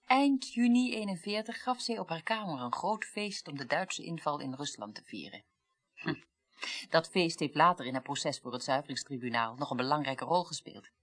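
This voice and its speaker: A weary, well-read woman of middle age, with a rather low voice. She recounts the past in careful, articulate sentences, pausing for weight as in an eighties radio play. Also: animated. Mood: grave. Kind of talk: 1980s radio play acting.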